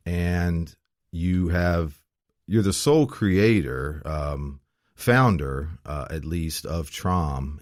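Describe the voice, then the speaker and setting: A man's voice: sits low, no room sound, clean studio sound. A man hosting an interview show, speaking hesitantly with many "um" and "uh" fillers and friendly affirmations. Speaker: show host.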